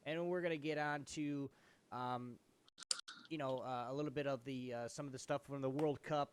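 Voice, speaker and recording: A man co-hosting a casual live gaming show with playful banter. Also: mid-range voice. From North America.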